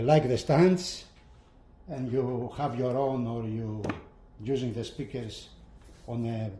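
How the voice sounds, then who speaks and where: A man giving a casual, unscripted product walkthrough as a reviewer, with halting delivery and repeated phrases. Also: medium pitch, dark tone, slight background noise.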